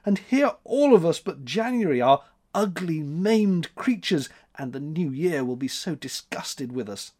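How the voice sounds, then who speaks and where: Man as sobbing, high-pitched April spirit. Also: big pitch swings, a little slow, a little mellow. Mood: tearful reproach. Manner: sobbing, pleading. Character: April, sobbing young month spirit, reproachful. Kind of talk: storybook character reading.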